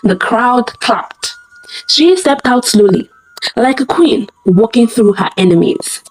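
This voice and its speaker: Expressive woman narrating a dramatic story. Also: fairly high pitch, slow, low-quality recording. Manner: theatrical, suspenseful, short punchy phrases.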